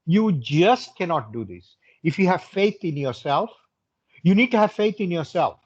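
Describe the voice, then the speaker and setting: An older man in his sixties speaks with big swings of pitch and a rough, husky edge. He is emphatic, restating key phrases as he motivates young students. The sound is slightly dull and narrow. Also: sing-song, moderate pace, a little mellow. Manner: emphatic, repeats phrases for stress. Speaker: hotel general manager, guest speaker.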